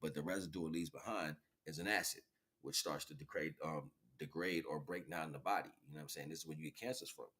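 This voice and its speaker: A man's voice: a bass voice, steady tone, fast talker, sharp tone, husky. A man in his forties hosting an informal livestream monologue, talking in a rambling, slangy way, repeating phrases and checking in with the listener. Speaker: livestream host.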